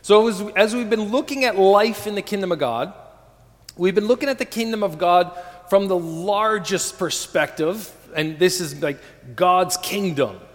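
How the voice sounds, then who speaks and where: A man's voice: sits high, normal speed, in a large hall. A man in his forties preaching an earnest sermon as pastor, calling on the congregation to answer. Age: 40s. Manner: earnest, calls for congregation responses.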